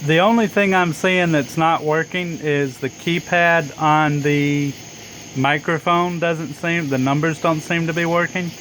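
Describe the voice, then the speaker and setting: A man's voice: a little high, fairly even intonation, a little slow, a bit bright. A man chatting casually as an amateur radio operator, in a hesitant, rambling way. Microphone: handheld phone camera. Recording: noisy phone recording, insects, air conditioner. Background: insects chirping, air conditioner hum.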